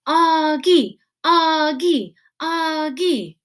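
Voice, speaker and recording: A woman puts on excited storybook schoolkids cheering for their friend. The voice jumps up high and loud, exclaiming with glee. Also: extremely slow, full character voice.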